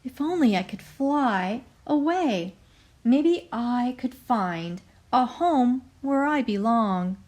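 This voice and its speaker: A woman's voice: on the high side, expressive, takes it slowly, a little mellow. A woman voicing a lonely, sad young male duckling in a storybook, plaintive and wistful. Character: lonely, sad young gray duckling, a small boy bird.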